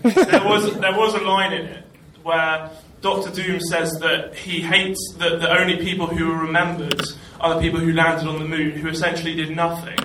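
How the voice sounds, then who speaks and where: A man's voice: a little high, flat delivery, normal speed, hardly any pauses, pushed and bright. A man asking a question from the audience, hesitant with many fillers. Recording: echoey hall, distant mic, background noise.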